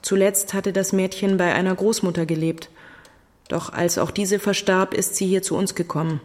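Woman as a low-voiced, stern but kindly matron. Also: little pitch movement, darkish tone, lightly acted. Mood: grave, sorrowful. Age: middle-aged. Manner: grave, measured, formal. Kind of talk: German audio drama acting.

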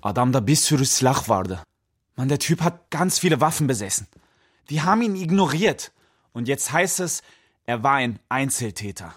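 A man's voice: mid-range voice, expressive, moderate pace, a bit bright, slightly rough. An actor playing a grieving, bitter young man of immigrant family in a radio play. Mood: indignant. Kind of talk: radio play acting, poetry recital.

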